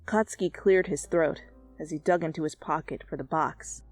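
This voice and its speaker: A woman reading fan fiction as an expressive, dramatic narrator who swears freely. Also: deep voice, normal speed, slightly rough. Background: soft music.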